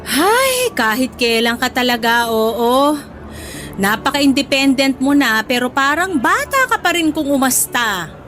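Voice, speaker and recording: A doting, exasperated mother in a radio drama. Her voice sits fairly high, with fond scolding and sighing interjections. Soft music runs underneath. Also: big pitch swings, a little slow, exaggerated voice. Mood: exasperated affection. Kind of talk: Tagalog radio drama acting.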